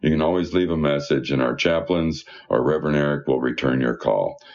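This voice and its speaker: Really deep voiced guy reading out church announcements, chatty and folksy, kinda dull muffled audio. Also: fairly quick, darkish tone. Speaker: church newsletter reader. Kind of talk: reading announcements aloud with informal asides.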